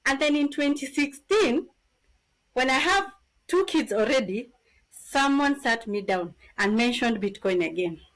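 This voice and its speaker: A woman in her thirties from Kenya with a Kenyan accent, a podcast guest telling her story in an animated, casual way. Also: high voice, unhurried, low-quality recording. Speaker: podcast guest, business founder.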